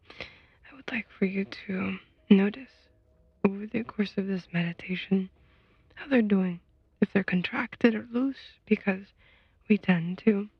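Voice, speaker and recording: Female meditation guide, very breathy and whispered, slow and gentle, on a slightly dull recording. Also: medium pitch, no room sound. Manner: gentle, soothing, unhurried with long pauses.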